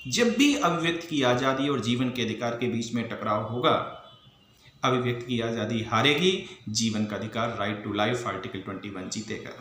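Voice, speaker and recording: An echoey room with faint noise carries the bright, forceful voice of an Indian man. He lectures like an exam teacher, stressing points firmly and explaining step by step with rhetorical questions. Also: medium pitch. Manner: emphatic, didactic, mixing in English legal terms. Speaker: teacher for civil service exam students.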